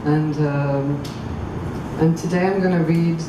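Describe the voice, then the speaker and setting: Woman from Croatia, low voice, slow and halting, poetry reading, distant mic, echoey outdoor space with traffic noise. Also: fairly even intonation. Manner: halting, thoughtful spoken intros, measured reading. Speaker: poet reading at a public event. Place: outdoor public venue.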